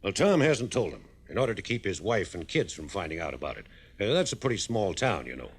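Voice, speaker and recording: A man's voice: on the low side, sing-song, brisk, slightly rough. An actor playing an earnest, worried American man briefing an investigator in a 1950s radio drama, telling his account insistently and emphatically.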